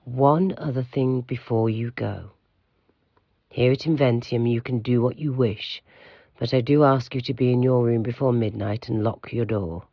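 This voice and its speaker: An actor playing the stern, secretive head of a mysterious institute in an audio drama, speaking formally and with control in a gravelly voice. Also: male voice, medium pitch, steady tone, normal speed, no room sound, highs cut off, natural voice. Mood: grave warning.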